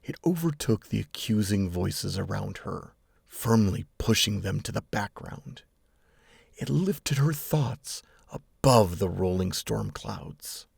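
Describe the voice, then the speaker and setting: A man reading scripted dramatic fiction as narrator, in a measured, grave manner. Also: on the low side, expressive, creaky, husky, no room sound, clean studio sound. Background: music.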